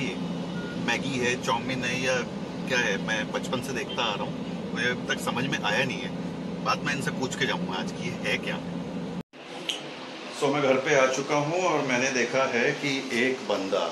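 A man in his thirties chatting casually to viewers in a food vlog, filmed on a phone at arm's length in a car and at home. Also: mid-range voice. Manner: chatty, addresses viewers as guys, mixes English words. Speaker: vlogger. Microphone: phone camera at arm's length. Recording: noticeable background noise.

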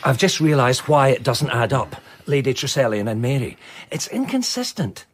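An actor playing an earnest, persistent amateur detective in a radio drama, questioning insistently. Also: male voice, mid-range voice, big pitch swings, normal speed, background noise, close to an everyday voice. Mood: urgent, insistent.

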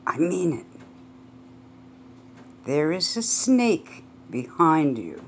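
A woman voicing a calm husband who talks slowly and soothingly to his frightened wife. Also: sits low, a little hiss or hum.

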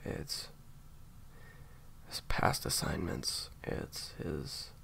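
A man playing a grieving, guilt-ridden secret agent in an audio roleplay, choked up and halting, sometimes dropping toward a whisper. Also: pushed and bright, slight background noise. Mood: subdued, sorrowful. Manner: halting, faltering, breaking into whispers. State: upset, choked up with grief. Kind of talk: intimate audio roleplay acting.

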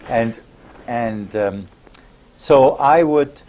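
A man in his sixties from New York City, telling stories about his life in a halting, good-humored way as an interview guest. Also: medium pitch, dark tone. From born in Belgium, raised in New York City. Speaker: interview guest, retiring music professor. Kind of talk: conversational reminiscing. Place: small cable TV studio. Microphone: lapel mic. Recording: noisy 2001 VHS studio recording.

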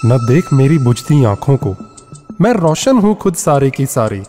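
A man presenting a radio programme with scripted, expressive and measured narration. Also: mid-range voice, soft background music.

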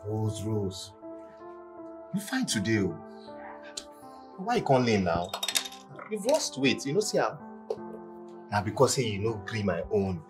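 An actor with a Nigerian accent playing a lecherous, boastful male customer who flatters and pesters a shop attendant. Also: lowish voice, a little slow, pauses often, music in the background. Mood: flirtatious. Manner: smooth-talking, leering flattery.

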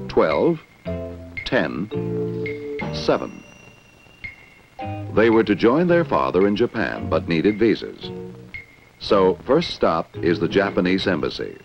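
A dull, dated film soundtrack with music carries a low-voiced American man. He narrates a 1960s corporate training film like a polished announcer. His measured script reading moves through lively rises and falls. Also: gravelly.

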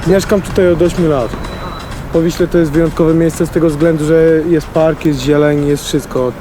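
A man's voice: fairly high pitch, steady tone, moderate pace. A young man chatting casually in an interview, recorded outdoors on a city street. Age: young adult. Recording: noisy street with passing cars.

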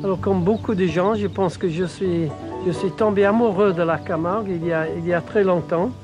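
A man's voice: high voice, fairly quick, over music. An older man from England, a native English speaker with an English accent, chatting reflectively and hesitantly as a documentary interviewee. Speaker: retired ornithologist.

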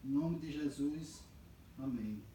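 A young man acting as a troubled husband hooked on the computer, praying in a low, pleading voice in a church skit. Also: takes it slowly, far from the mic, background noise. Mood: pleading prayer. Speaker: amateur actor in a church skit. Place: wood-panelled hall, indoors. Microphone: far camera. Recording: echoey hall, picked up from afar.

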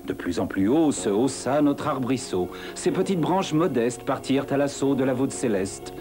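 A man's voice: mid-range voice, animated, moderate pace, music in the background, close to an everyday voice. A man playing a grandiloquent, poetic storyteller narrating a rhyming cartoon fable. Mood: lyrical, grandiloquent.

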